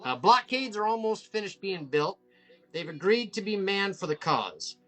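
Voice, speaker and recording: A man's voice: high-pitched, sing-song, moderate pace, bright, forceful tone, faint music behind, heavily acted. A man reading the part of a bossy, leering older men's-club member at a film table read. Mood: businesslike.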